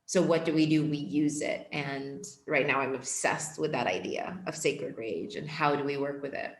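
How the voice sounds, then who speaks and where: Low-voiced young woman in an echoey room. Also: moderate pace. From born in Portugal, raised in Ethiopia. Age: young adult. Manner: reflective, earnest, many filler "like"s. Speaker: guest, empowerment coach. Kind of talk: casual podcast interview.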